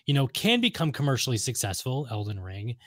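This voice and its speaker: A man's voice: mid-range voice, big pitch swings, fairly quick, a bit bright, no echo, clean studio sound. A man co-hosting a casual gaming chat, talking emphatically and repeating his points with firm opinions. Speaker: podcast co-host. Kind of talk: casual chat about video games.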